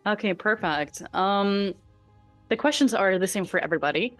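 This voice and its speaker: A woman's voice: mid-range voice, moderate pace, fairly bright tone, no echo, soft background music. Hesitant German woman hosting an interview. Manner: hesitant, many "um"s, slightly uneven English. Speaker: podcast host. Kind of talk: casual interview questions.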